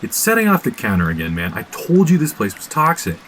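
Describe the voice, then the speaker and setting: Anxious, animated young guy. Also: mid-range voice, brisk, noisy background. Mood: exasperated. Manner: agitated, sardonic, slangy. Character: anxious, sarcastic young man, jumpy and exasperated. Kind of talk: naturalistic horror audio drama dialogue.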